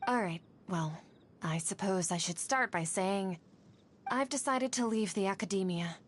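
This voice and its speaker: A woman's voice: mid-range voice, a little slow, a little hiss or hum, lightly acted. A woman playing an earnest, idealistic scholar and teacher in a video game, polite and articulate. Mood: earnest, hopeful. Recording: music under the voice.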